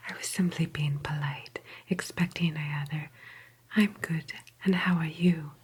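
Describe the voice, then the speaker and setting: A woman playing a meticulous, prim sci-fi office agent in an ASMR roleplay, whispering breathily in an unhurried, hesitant, officious but polite manner. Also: sits low, fairly even intonation, slightly rough, heavily acted. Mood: calm, methodical. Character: slow, meticulous, prim female time-travel office agent.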